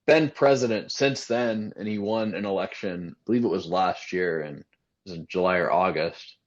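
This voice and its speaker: A man's voice: low-pitched, normal speed, highs cut off. A man co-hosting a podcast, casually asking questions and checking facts with his partner.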